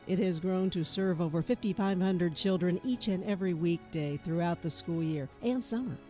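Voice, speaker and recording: A narrator reading a formal tribute script at a measured pace. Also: medium pitch, dark tone.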